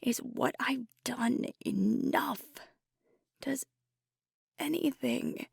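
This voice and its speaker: A woman playing a young, earnest, self-doubting alpha werewolf pack leader in an intimate ASMR roleplay, speaking softly and hesitantly in a breathy half-whisper. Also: a little high, no echo, clean studio sound, exaggerated voice. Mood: doubting, troubled. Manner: hesitant, confiding, slow and reflective. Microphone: close mic, dry.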